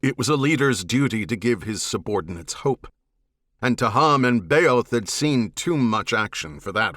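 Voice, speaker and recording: A man reading dramatic fiction as an audiobook narrator, in a measured, serious manner. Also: fairly low pitch, a bit bright, no echo.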